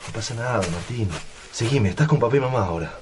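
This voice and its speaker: A man's voice: mid-range voice, animated, fast, noisy background, close to an everyday voice. An actor playing a resolute, protective father in a radio drama, speaking in an urgent, commanding way. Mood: determined, reassuring. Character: resolute, protective father leading his family through an invasion. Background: dramatic music and sound effects.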